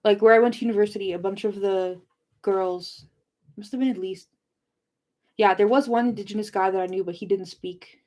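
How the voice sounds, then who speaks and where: A young woman hosting an informal livestream discussion. She speaks at a middle pitch in a loose, conversational way, wandering from one thought to the next as she addresses her viewers. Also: fairly even intonation, a little fast, a little mellow. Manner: informal, rambling, digressive. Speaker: livestream host of a book discussion. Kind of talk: casual chat with live viewers.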